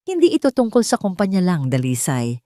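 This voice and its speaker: A serious family lawyer, a man with grave news, voiced by a woman narrator. The delivery is formal and grave, the pitch on the low side, in a dry audio drama recording. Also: huge pitch swings, brisk, mellow, no room sound.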